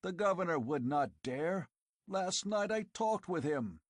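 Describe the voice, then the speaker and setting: A man's voice: high voice, animated, measured pace, dry recording, clean studio sound, pitched above the performer's own voice. A man voicing a blunt, exasperated barbarian warrior who roars his words. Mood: roaring. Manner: bellowing, blunt.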